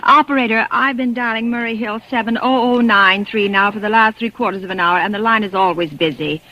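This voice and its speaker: An actress playing a nervous, imperious invalid wife in a stammering, fretful 1940s radio thriller style. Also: female voice, medium pitch, brisk, dull audio, natural voice. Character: nervous, demanding invalid wife alone at home. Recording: old 1940s radio recording.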